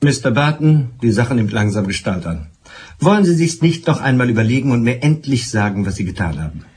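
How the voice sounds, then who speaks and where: An actor playing a suspicious, methodical police inspector in a German radio drama, probing and deliberate, with dry wit and authority. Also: male voice, medium pitch, very animated, background noise, lightly acted. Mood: dry, stern.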